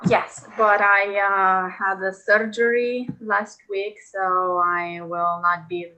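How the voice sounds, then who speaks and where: Bright-voiced woman from Romania, hesitant. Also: medium pitch, fairly even intonation, poor audio. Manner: hesitant, halting replies. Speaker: class participant. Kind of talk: casual chat. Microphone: video call.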